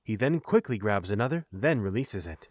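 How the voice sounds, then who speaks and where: A man's voice: lowish voice, very animated, normal speed, no room sound. Dry-sounding male audio drama narrator.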